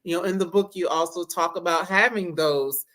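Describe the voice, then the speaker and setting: A woman's voice: fairly low pitch, moderate pace, a bit bright. A woman hosting an interview, affirming her guest and leading into the next topic. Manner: affirming, frequent "mm-hmm". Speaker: podcast host. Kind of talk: interview questions.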